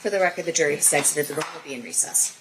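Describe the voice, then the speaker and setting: A woman judge reciting formal courtroom instructions in a brisk, rote way. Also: low voice. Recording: faint courtroom noise.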